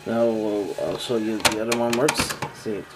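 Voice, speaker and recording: A man giving a casual, halting product demonstration as a seller, often repeating himself, recorded indoors on a handheld camera. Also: on the low side, fairly even intonation, heavy background noise. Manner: halting, repetitive, plain-spoken. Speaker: online seller demonstrating an item. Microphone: handheld camera, speaker off screen behind it.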